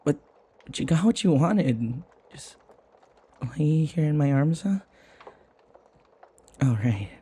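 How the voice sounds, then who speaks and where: A man playing a flustered, submissive servant in an intimate audio roleplay, hesitant and apologetic, stammering with a breathy voice. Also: on the high side, animated, slow pace, pauses often, dark tone, heavily acted. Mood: shy, resigned. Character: flustered, submissive young male servant devoted to his vampire mistress.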